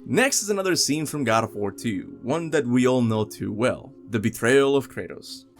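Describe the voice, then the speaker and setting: A man's voice: mid-range voice, lively intonation, moderate pace. A young man narrating a scripted video game countdown as host, with music behind him.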